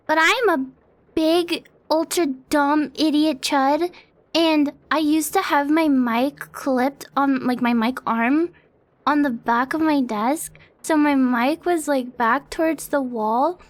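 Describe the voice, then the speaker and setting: Young woman streamer, high voice, slow and rambling, self-deprecating with casual swearing, close desk mic at home. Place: home apartment streaming setup. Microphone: close desk mic on an arm.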